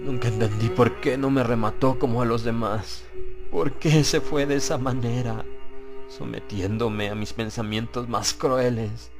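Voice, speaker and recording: A man narrating a horror story in a slow, deliberate, grave and dramatic way, as for an audiobook. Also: medium pitch, steady tone, over music.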